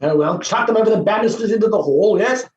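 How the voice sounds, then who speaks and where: An actor playing an overbearing, impatient, scornful professor in a theatrical play reading, blustering and muttering exclamations. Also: male voice, high-pitched, quick pace, darkish tone, dull audio, lightly acted. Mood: brisk, offhand. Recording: roomy echo with faint hiss.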